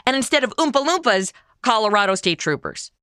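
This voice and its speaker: A woman plays a snarky modern city woman who cracks wise in the Old West. Her high, bright voice leaps all over the place, close and dry. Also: fairly quick, clear, resonant tone, dry recording. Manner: snarky deadpan quips. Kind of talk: comedy audio drama acting.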